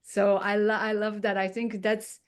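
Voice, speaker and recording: The host of a small spiritual interview show, a woman with English as a second language, gushing in halting phrases at an average pitch. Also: level tone. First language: not English. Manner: effusive, halting, non-native phrasing. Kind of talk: casual interview chat.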